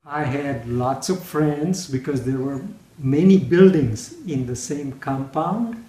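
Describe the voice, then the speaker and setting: A man in his seventies with an Indian accent, slowly recalling his childhood in a family interview. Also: medium pitch, warm tone. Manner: slow, reminiscing. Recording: echoey room.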